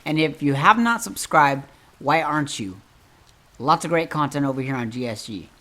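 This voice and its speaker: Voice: medium pitch, expressive, normal speed, pushed and bright. A host narrating a casual step-by-step video game guide.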